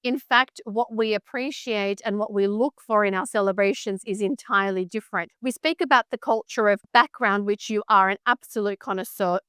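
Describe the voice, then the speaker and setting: Polished woman host, clear voice. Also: medium pitch, moderate pace, hardly any pauses, no echo, studio quality. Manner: polished, articulate, warmly summarizing. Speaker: interview host. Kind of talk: hosted interview questions.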